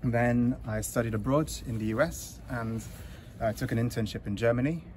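A man, talking in a relaxed, halting way with many fillers during a casual interview in an outdoor community garden. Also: mid-range voice. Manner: easygoing, hesitant with many fillers. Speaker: interview guest, volunteer. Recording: outdoor noise with passing traffic.

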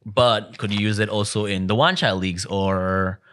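A man's voice: fairly low pitch, steady tone, moderate pace, fairly bright tone, a little hiss or hum. A young man speaking casually as a podcast host, interviewing his guest up close on a studio microphone. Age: young adult. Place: small dim podcast studio indoors. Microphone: close studio mic on boom arm.

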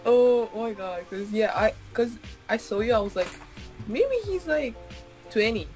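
A 21-year-old woman from Uzbekistan, chatting on a video call, animated and expressive. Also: fairly high pitch, normal speed, faint music behind, poor audio. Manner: animated and expressive, exclaims and laughs often. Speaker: video chat user. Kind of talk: casual video chat with strangers. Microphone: webcam video call.

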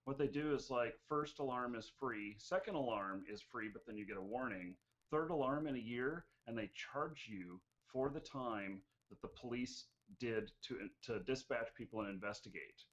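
A man's voice: mid-range voice, moderate pace, few pauses, a bit bright. A man in his forties from the United States, hosting a live-streamed Q&A in a chatty, joking way with many fillers, on a headset mic at home. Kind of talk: casual live-stream chat. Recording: dull lo-fi stream audio.

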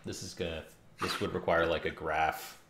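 A man in his thirties with a very low voice full of fry, thinking out loud in an informal, self-deprecating way. The room rings noticeably around him, with keyboard clicks and a faint noise underneath. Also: flat delivery, moderate pace, reverberant. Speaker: game developer livestreaming. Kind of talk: casual thinking aloud while working. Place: indoor home room. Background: faint noise, keyboard typing and clicks.

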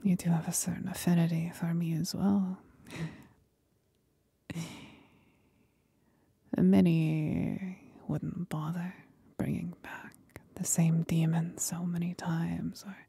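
A woman playing a seductive, mocking demon companion in a whispered ASMR roleplay, speaking breathily with deliberate pauses. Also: lowish voice, level tone, gravelly, dark tone, slightly rough, no echo, full character voice. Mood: coy, knowing.

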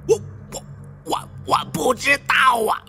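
A man's voice: unhurried, sharp tone, faint music behind. A man voicing a brash, foul-mouthed, stammering male tomb raider in an audiobook. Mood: strained, protesting. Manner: heavy stutter, repeating syllables. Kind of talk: audiobook character acting.

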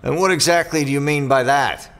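An actor playing a boastful, touchy freelance mercenary in a science-fiction audio drama, speaking in a blunt, defensive way. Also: male voice, on the high side, fairly quick, fairly bright tone.

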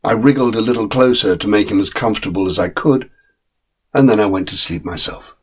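A man voicing a loyal, plain-spoken dog who narrates a storybook tale in a measured, wistful, husky way. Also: fairly low pitch. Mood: quiet, watchful. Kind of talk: dramatic short story reading.

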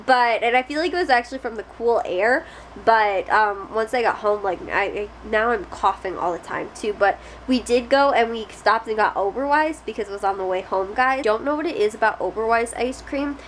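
A young woman chats to a close camera in a small bedroom. Her voice sits a little high, and she rambles along with fillers and restarts. A faint hiss sits under her speech. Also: normal speed, poor audio. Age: young adult. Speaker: family vlogger. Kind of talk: casual chat to camera. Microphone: close camera at face height.

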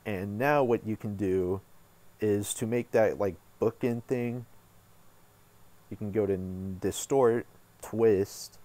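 Low-pitched man, casual tutorial host. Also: slow, long pauses, warm tone. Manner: informal, thinking aloud while working. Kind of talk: casual screen-recorded software tutorial.

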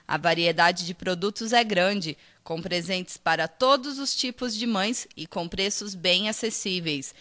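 A woman TV news reporter reading a scripted voice-over in a clear, even delivery. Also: medium pitch.

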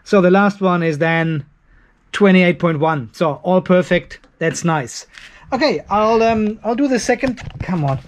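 Higher-pitched man, casual workshop talk. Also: measured pace. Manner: informal, thinking aloud, mildly sweary. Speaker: DIY video host. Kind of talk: hands-on workshop narration, casual. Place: garage workshop with metal roof. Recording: some background noise in workshop.

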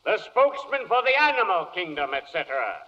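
High-voiced officious court clerk. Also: male voice, big pitch swings, fairly quick, sharp tone, exaggerated voice. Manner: formal, officious. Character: officious male court clerk reciting oaths. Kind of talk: 1940s radio comedy acting. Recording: muffled, reverberant 1940s radio broadcast.

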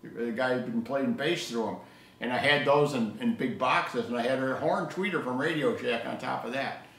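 Older guy just talking shop, kinda halting storyteller style, roomy echo, normal pitch. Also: creaky, a bit bright. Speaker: co-host of an audio hobby show. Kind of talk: casual hobby discussion. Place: living room table indoors. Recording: echoey room sound.

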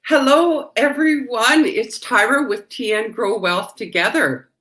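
A woman's voice: a little high, unhurried, a bit bright, rough recording. An older woman hosting an interview over a video call, chatty and upbeat. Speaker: host. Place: home room, indoors. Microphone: webcam on video call.

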